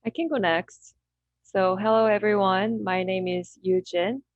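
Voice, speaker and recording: A woman's voice: mid-range voice, fairly even intonation, a little slow, no room sound, narrow, tinny audio. A woman from Korea, a memoir author speaking as a panelist in an earnest, organized way. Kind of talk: online panel discussion.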